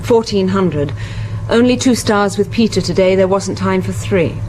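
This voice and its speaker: A woman's voice: mid-range voice, moderate pace, darkish tone, a little hiss or hum, natural voice, rough recording. An actress playing a thoughtful woman scientist in a radio thriller, reading. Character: British woman marine biologist reading a diary aloud.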